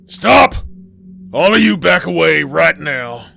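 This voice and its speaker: A man playing a protective, anguished farmer father in a horror story, forceful and strained. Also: on the high side, very animated, slow pace, creaky, a little hiss or hum, pitched above the performer's own voice, heavily acted. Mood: commanding anger.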